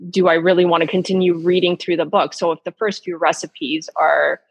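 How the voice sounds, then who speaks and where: A woman's voice: on the low side, fairly even intonation, a little fast, gravelly, husky, dry recording, lo-fi sound. A woman talking casually as an interview guest, a cookbook author answering questions in a conversational way.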